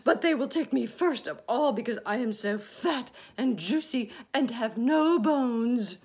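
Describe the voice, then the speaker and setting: A woman's voice: animated, slow pace, slightly rough, full character voice. A woman voicing a plump, gloomy male earthworm who wails in panic. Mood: terrified. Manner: shrill, panicky wailing.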